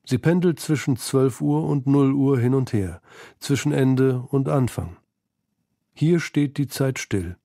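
A man's voice: mid-range voice, takes it slowly, creaky, mellow, no echo, clean studio sound. A man reading scripted narration for a literary documentary feature.

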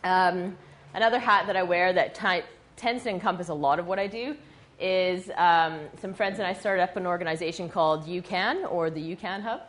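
A woman from western Canada with a scratchy, husky voice, giving a chatty slide presentation with many ums, recorded from a camera across a dim room. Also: lowish voice, normal speed, a bit bright. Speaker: guest speaker. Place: dim meeting room with projector. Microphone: far camera.

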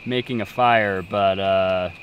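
A man's voice: sits low, steady tone, slow pace, narrow, tinny audio, a little hiss or hum. A young man chatting upbeat to a handheld camera on a jungle trip. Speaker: vlogger. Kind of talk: casual travel vlog chat. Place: outdoors in a rainy jungle and inside a tent. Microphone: handheld camera at arm's length.

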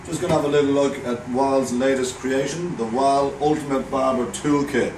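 A man's voice: mid-range voice, fairly even intonation, normal speed, background noise. A man in his thirties with an Australian accent, a barber casually demoing a tool kit with enthusiastic, informal patter, recorded on a camera in a small barbershop. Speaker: barber reviewing products. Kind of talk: casual product demo. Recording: roomy shop with faint ambience.

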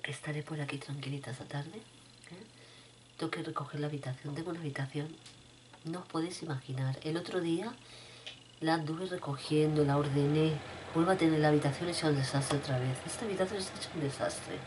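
A middle-aged woman with a cold and a rough throat, chatting casually to her viewers from a room at home, repeating herself as she talks. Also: deep voice, steady tone, moderate pace, long pauses, a little mellow, slight background noise, low-quality recording. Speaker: vlogger. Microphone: webcam at desk.

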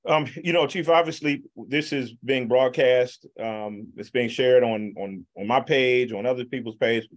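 A man's voice: mid-range voice, measured pace. A man hosting a video call discussion, asking questions politely with a lot of hesitation. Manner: polite, hesitant, lots of ums. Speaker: host.

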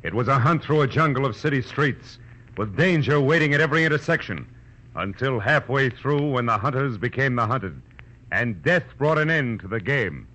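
An American actor playing a wry, world-weary, tough private detective, narrating in 1940s radio drama style. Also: male voice, mid-range voice, animated, moderate pace. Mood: grim, dramatic. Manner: wry, clipped, hard-boiled. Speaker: lead actor and first-person narrator. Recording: dull old radio recording, loud music.

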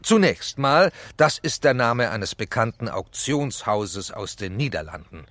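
Clipped, commanding SWAT leader. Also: male voice, medium pitch, lively intonation, a little slow, a bit bright, no room sound. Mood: brisk command. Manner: clipped, formal orders. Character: terse, commanding police SWAT team leader, corporal. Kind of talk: German children's audio drama acting.

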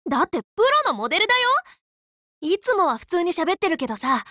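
A woman's voice: very high-pitched, sing-song, quick pace, pushed and bright, no room sound, clean studio sound, exaggerated voice. A woman playing an upbeat, loyal, tomboyish teenage magical girl in an anime game, speaking in a casual, frank, boyish way. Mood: fond. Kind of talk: anime game character voice lines.

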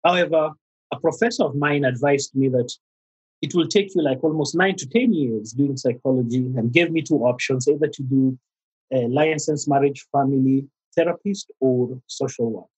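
A middle-aged man with a Kenyan accent, a therapist speaking as a podcast guest, pausing often with fillers and restarts. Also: medium pitch, a little mellow, breathy edge, low-quality recording. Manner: halting, many fillers and restarts. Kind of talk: conversational interview.

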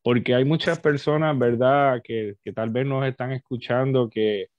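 A man in his thirties, a pastor hosting an interview over a headset, asking long, measured, churchly questions. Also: mid-range voice, steady tone. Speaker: pastor and host. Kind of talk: interview over video call. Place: home office with bookshelves. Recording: dry, lo-fi video call audio.

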